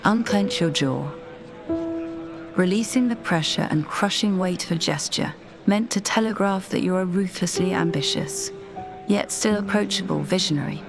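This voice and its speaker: A woman narrating a scripted guided meditation in a measured, deliberate, soothing manner. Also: fairly low pitch, gravelly. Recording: voice over background music. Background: music.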